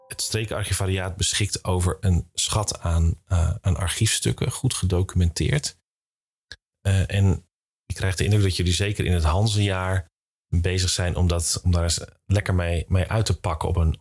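A man with a Netherlands Dutch accent hosting a podcast interview conversationally, rephrasing haltingly as he goes. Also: low voice, steady tone, a little mellow, husky.

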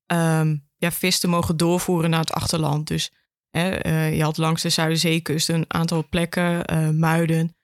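A woman's voice: sits low, flat delivery, moderate pace, no room sound, studio quality. A woman explaining informally as an interview guest, with some hesitation. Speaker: archive education officer, podcast guest.

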